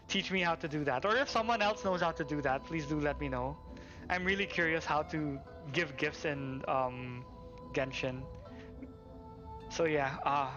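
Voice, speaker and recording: A man chatting casually as a live game streamer, in an excitable, effusive way that repeats itself. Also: on the high side, lo-fi sound. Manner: excitable, repeats thanks, chuckles often. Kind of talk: casual livestream chat. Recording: game music behind. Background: game music and character voices.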